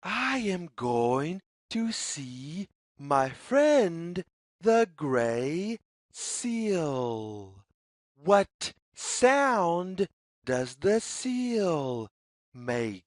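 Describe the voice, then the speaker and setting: A woman reading a picture book aloud for young children, with deliberate, singsong phrasing and questions put to the listener. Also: fairly low pitch, big pitch swings, no room sound, studio quality. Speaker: children's read-along storyteller.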